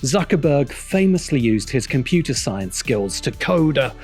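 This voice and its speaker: A man's voice: mid-range voice, big pitch swings, a little slow, darkish tone. A man reading a scripted video essay narration in a sardonic, opinionated manner. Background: music behind the voice.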